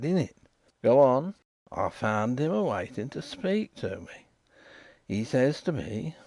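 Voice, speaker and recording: A man's voice: mid-range voice, a little mellow, dry recording, voice pushed up. A man voicing a shifty, indignant riverside informer, insistent and repetitive.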